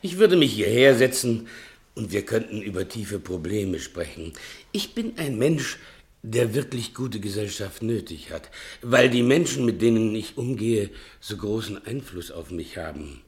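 A servile, talkative servant fond of deep musings in an old German radio play. His creaky low voice rises and falls theatrically, ingratiating and ponderous. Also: very animated, a little slow. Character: servile male servant, chatty philosophising tippler, self-pitying.

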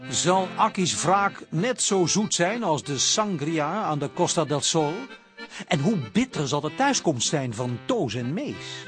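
A man's voice: on the high side, sing-song, unhurried. A man narrating a radio serial from a script in a playfully dramatic manner. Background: music.

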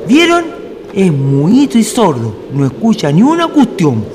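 An easygoing Chilean country traveller acted by a man speaks colloquially in a highish, very varied voice. It is a radio drama with clear noise behind him. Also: wildly swooping pitch, unhurried, heavily acted. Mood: mocking. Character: easygoing rural male traveller, plain-spoken. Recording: noisy ambient radio drama recording.

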